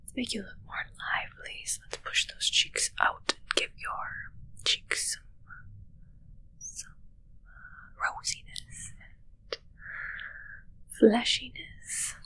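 A young woman playing a haughty, morbidly playful witch in an ASMR roleplay, whispering slowly and breathily in a musing, gently critical way close to the mic. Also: fairly high pitch, husky, full character voice, rough recording. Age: young adult. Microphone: close microphone, seen at close range.

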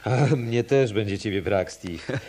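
An actor playing an easygoing hotel colleague in a radio play, conversational and unhurried. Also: male voice, lowish voice, a little mellow, slight background noise, natural voice. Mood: relaxed, friendly.

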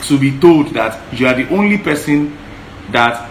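A man's voice: mid-range voice, lively intonation, moderate pace. A man in his thirties with a Yoruba accent, co-hosting a casual advice chat, talking earnestly and emphatically and repeating points. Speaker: co-host. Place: living room, indoors. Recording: echoey room, faint hiss.

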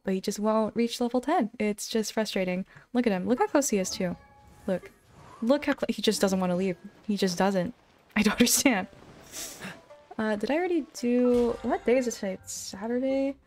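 A woman's voice: fairly high pitch, moderate pace. Chatty young woman streaming, game music behind. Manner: rambling, informal, expressive. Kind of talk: casual livestream chat over gameplay.

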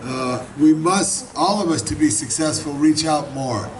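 An older man with a raspy voice giving an effusive, rambling speech at an outdoor evening party. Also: a little high, a little slow. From Los Angeles, United States. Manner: warm, effusive, rambling with repeats. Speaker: guest speaker at a community reception. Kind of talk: informal public speech. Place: outdoor garden party at night. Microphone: handheld mic on a stand, filmed from afar. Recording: distant camera audio, crowd chatter. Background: crowd of guests.

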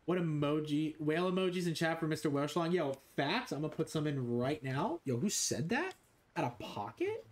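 A man's voice: on the high side, big pitch swings, a little fast. A young man streaming a game from a small room, joking crudely and rambling with his chat. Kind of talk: live game stream commentary.

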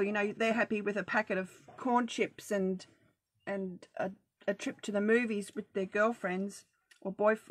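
A woman's voice: medium pitch, a bit bright, dry recording. A middle-aged Australian woman hosting a casual live video chat, talking in a chatty, practical and reassuring way about saving money. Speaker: budgeting group host. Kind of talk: casual Facebook Live talk.

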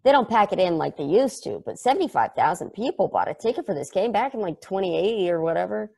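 A man reading an excitable, chatty space probe in a table read over a video call, rambling off into tangents. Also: sits high, fast, creaky, exaggerated voice. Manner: enthusiastic, rambling, tangent-prone.

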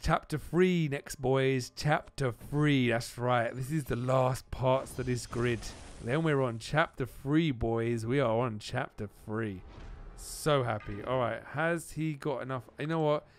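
A man giving excited video game commentary, repeating phrases and exclaiming in informal slang. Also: mid-range voice, unhurried. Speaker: gaming channel host. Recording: music behind the voice.

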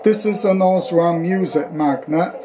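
A man's voice: high-pitched, a little slow. A middle-aged man giving an informal, rambling, matter-of-fact home demonstration as a hobbyist, filming on a handheld camera. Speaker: vintage radio hobbyist presenting own collection. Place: room at home, indoors. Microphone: handheld camera, speaker behind it. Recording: handheld camera audio with clear hum noise. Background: electrical hum from old radio equipment.

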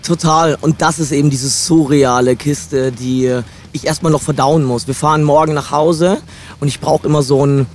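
A hoarse young man, a guest musician, talking in a relaxed, reflective way into a handheld mic inside a van. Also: a little high, normal speed, a little hiss or hum. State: hoarse from shouting. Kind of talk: casual interview.

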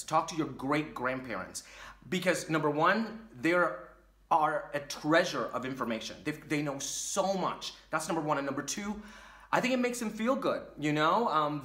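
A man in his thirties from Puerto Rico talks straight to camera in a bright, forward voice that sits a little high. He tells his story in an animated, chatty way. The small room gives the sound a roomy echo. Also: moderate pace. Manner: animated, gestures a lot while talking. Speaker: vlogger. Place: small dim room with curtain behind.

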